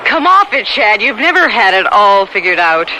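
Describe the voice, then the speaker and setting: A woman's voice: mid-range voice, sing-song, sharp tone. An American actress playing a plucky, wry, teasing woman in a radio drama, with sardonic banter. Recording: noisy old radio broadcast with rushing background.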